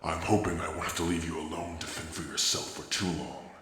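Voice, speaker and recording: Give us a brash dragon heir, voiced by a man who teases and shields the listener at once. His voice sits low and bright with real force. The ASMR roleplay sounds recorded in a roomy, echoing space with faint ambience behind it. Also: fairly even intonation, fairly quick, slight background noise. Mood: cocky. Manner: cocky, teasing and protective. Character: brash young male dragon prince, future king.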